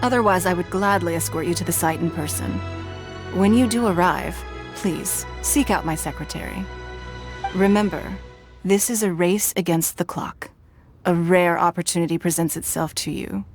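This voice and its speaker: A woman playing a poised, wealthy female ruler who speaks in a measured, formal, authoritative way. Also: lowish voice, close to an everyday voice. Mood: composed. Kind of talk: game voice acting. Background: game music.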